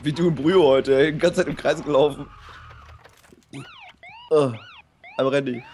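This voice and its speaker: A man's voice: fairly high pitch, steady tone, measured pace, darkish tone. A young man chatting casually and playfully while playing a video game with a friend. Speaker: let's player. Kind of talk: casual gaming banter during co-op play. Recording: noisy game audio with police sirens. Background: game sounds, sirens.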